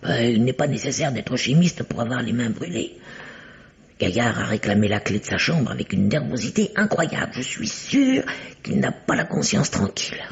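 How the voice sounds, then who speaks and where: A woman's voice: rumbling low, very animated, moderate pace, gravelly, heavily acted. An actress playing a prim, suspicious, secretive spinster landlady with hesitant formal politeness in an old French radio mystery. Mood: suspicious, conspiratorial. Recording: dull, band-limited vintage radio recording.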